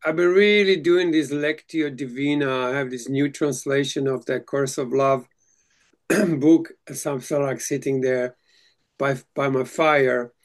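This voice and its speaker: A man whose first language is Slovak, leading a casual reading group chat and speaking haltingly, with frequent restarts. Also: mid-range voice, steady tone. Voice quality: halting, many restarts and fillers.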